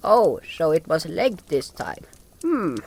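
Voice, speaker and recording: A man's voice: fairly high pitch, sing-song, takes it slowly, creaky, pitched above the performer's own voice, heavily acted. A man voicing an old, placid and absent-minded amphibian healer in an audio drama, speaking in simple broken phrases. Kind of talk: audio drama character acting.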